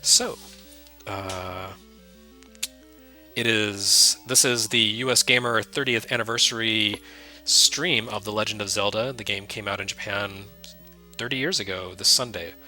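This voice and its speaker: A soft-spoken man giving loose, self-deprecating commentary while streaming a retro game, full of fillers and restarts. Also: on the low side, a little slow. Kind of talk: casual live-stream commentary. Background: game music under the voice.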